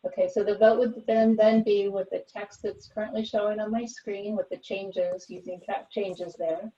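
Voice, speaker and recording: A woman's voice: mid-range voice, little pitch movement, normal speed, mellow. A woman chairing a committee conference call, running procedural discussion and votes. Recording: muffled, distant conference call audio.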